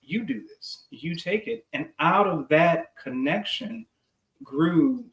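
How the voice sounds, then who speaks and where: A man, a psychologist, telling a confiding story in a reflective chat over a video call, trailing off softly at the ends of phrases. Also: high voice, big pitch swings, slow pace. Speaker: clinical psychologist. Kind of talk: reflective conversation. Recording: echoey room.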